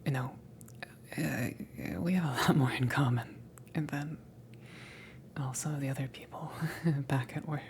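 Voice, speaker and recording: A woman playing a casual, blunt alien salvage worker in an ASMR roleplay, speaking in a soft, breathy whisper with a halting, teasing delivery. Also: rumbling low, steady tone. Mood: curious, hesitant. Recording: noticeable background noise, ambience. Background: ambient noise.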